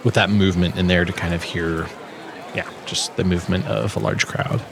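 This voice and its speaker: A man's voice: sits low, steady tone, normal speed, vocal fry, noisy background. A man giving a casual, explanatory walkthrough as a sound designer presenting his work.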